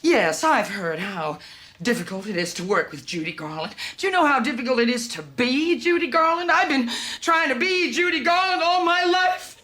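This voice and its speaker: An American actress playing a middle-aged showbiz star, volatile, wounded and proud, in dramatic screen style. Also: female voice, medium pitch, very animated, moderate pace, pauses often, a bit bright. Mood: proud, defiant. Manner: theatrical, emphatic, emotional. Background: music.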